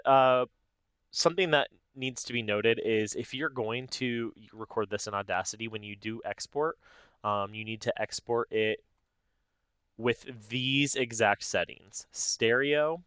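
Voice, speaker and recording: A man narrating a casual software tutorial step by step, informally thinking aloud as he goes. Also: mid-range voice, slow, clear, resonant tone, no room sound. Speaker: tutorial host.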